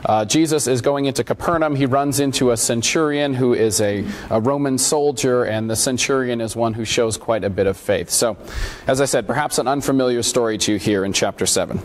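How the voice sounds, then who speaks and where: Scratchy-voiced man preaching at a pulpit. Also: mid-range voice. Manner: measured, deliberate reading with emphatic rises. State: scratchy voice from allergies. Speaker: pastor. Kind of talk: sermon with scripture reading. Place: church sanctuary at a pulpit. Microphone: lapel mic.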